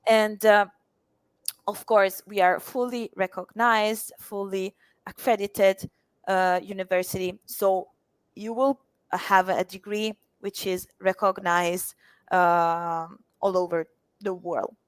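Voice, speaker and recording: A woman's voice: mid-range voice, flat delivery, slow pace, clear, resonant tone, dry recording. A woman whose first language is Italian speaks as a university representative in an interview, hesitating often with fillers. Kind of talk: interview answers.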